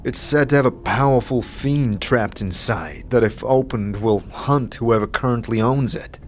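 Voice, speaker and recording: A man's voice: mid-range voice, a little slow, slight background noise, natural voice. A man playing a wary, plain-spoken adventurer in a fantasy audio drama, speaking in a hesitant, understated way. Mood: cautious.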